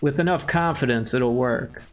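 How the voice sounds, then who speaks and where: A man's voice: mid-range voice, normal speed, soft background music. A man from Chicago with a Chicago accent, hosting a livestream and chatting casually with viewers in a joking way. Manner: joking, chuckling, chatty with chat. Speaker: livestream host.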